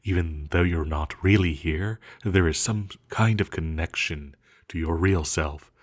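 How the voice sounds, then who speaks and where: An ancient male villain played by a man speaks very low and very slowly, as if in an intimate audio roleplay. He is seductive and possessive, teasing as he schemes, with sighs and lingering pauses. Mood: urgent, possessive.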